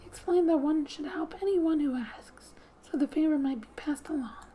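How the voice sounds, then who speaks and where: A soft-spoken woman doing ASMR gaming commentary, gently reading story text aloud and often dropping to a whisper, in an unhurried way. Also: sits high, slight background noise. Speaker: video game streamer. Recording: quiet background music. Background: soft music.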